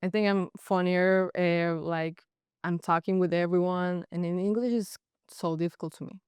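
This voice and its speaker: Soft-spoken young Colombian woman. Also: on the low side, steady tone, clear, resonant tone, no echo, studio quality. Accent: Colombian Spanish. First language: Spanish. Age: young adult. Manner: halting non-native English with restarts. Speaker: guest, college goalkeeper. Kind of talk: casual interview chat.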